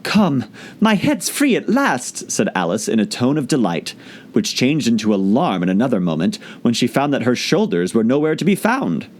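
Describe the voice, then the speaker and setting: Very animated male storybook narrator. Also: medium pitch, fairly quick. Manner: expressive, theatrical storytelling. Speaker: audiobook reader.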